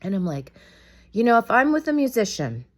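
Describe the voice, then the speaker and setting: A woman with a raspy voice from being sick, chatting casually to camera in a rambling, opinionated gossip vlog. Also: fairly high pitch, big pitch swings, brisk. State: sick, raspy from a cold, coughing. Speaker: vlogger.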